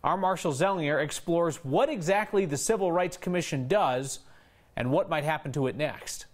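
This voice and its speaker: A man's voice: a little high, moderate pace. A male news anchor speaking in a conversational broadcast style. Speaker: news anchor and debate moderator.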